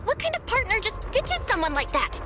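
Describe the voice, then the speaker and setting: A woman playing an earnest, feisty and loyal young woman, blunt and expressive, in video game acting. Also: very high-pitched, fairly quick. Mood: indignant, urgent. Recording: music under the voice.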